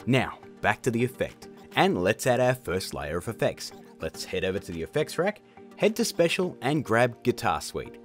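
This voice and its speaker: A man hosting a casual software tutorial in a chatty, jokey way. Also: mid-range voice, sing-song, moderate pace, soft background music. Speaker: tutorial host. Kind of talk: casual screen-recorded tutorial narration.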